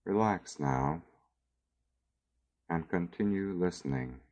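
A man narrating a scripted guided meditation in a measured, soothing way with long pauses, on a dull recording. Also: low-pitched, darkish tone.

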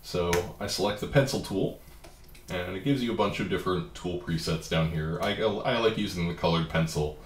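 Deep-voiced man with a stuffy head cold. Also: roomy sound, slight background noise. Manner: relaxed, plain step-by-step explaining. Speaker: comic artist giving a software tutorial. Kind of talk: screen-recorded software walkthrough.

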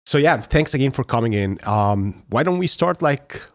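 A young man hosting a podcast interview in a casual, friendly way, laughing easily, on a boom mic in a studio. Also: lively intonation, normal speed. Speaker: podcast host. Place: studio room with plants. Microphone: boom mic with headphones.

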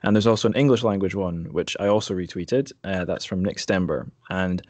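Male podcast host, lower-pitched, wry and understated, casual interview chat, close dry sound. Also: a little fast, a little mellow, dry recording.

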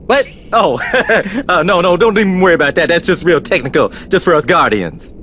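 An actor playing a tiny cocky guardian dragon in a cartoonish video game, boastful, wheedling and excitable. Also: male voice, high voice, moderate pace, slight background noise. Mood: flustered, evasive.

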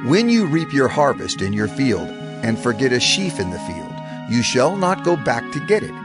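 A solemn male lawgiver addresses his people in an audio scripture drama, acted by a man. His delivery is declamatory and authoritative, with lively, sweeping intonation. Music plays clearly behind his deliberate words. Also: medium pitch, natural voice. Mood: solemn, commanding. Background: background music.

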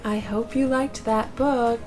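A woman reading a rhyming picture book aloud for young children in an expressive, deliberate storytelling manner. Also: a little high, over music.

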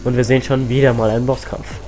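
A teenage boy with a cold, chatting excitedly as he plays a platform game on his channel, full of rambling asides. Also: mid-range voice, quick pace, soft background music. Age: teens. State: has a cold. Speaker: let's play host. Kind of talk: casual game commentary.

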